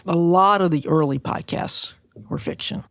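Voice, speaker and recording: A woman's voice: fairly low pitch, normal speed, dry recording. A woman talking conversationally as a podcast interviewer.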